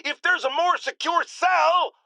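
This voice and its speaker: A man's voice: extremely high pitch, unhurried, bright, forceful tone, dry recording, heavily acted. A man playing a pompous, paranoid middle-aged radio talk show host in a video game, ranting theatrically with indignant emphasis. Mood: incredulous. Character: bombastic, paranoid middle-aged male radio shock jock.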